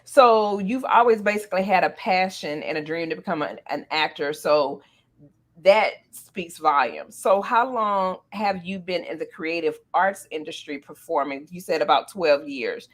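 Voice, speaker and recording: A woman's voice: on the low side, normal speed. A woman hosting a casual talk show interview, speaking to guests in an encouraging, playful way.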